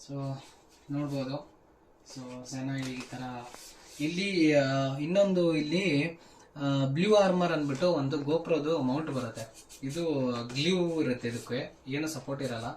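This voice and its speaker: A man's voice: on the high side, moderate pace, long pauses, a little mellow, slight background noise, low-quality recording. A man giving a casual hands-on how-to demonstration, informally mixing in English words. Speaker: tech vlogger presenting a tutorial.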